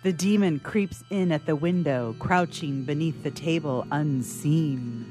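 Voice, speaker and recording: A woman's voice: sits low, measured pace, dark tone, faint music behind. A woman narrator reading scripted stage directions for a radio drama.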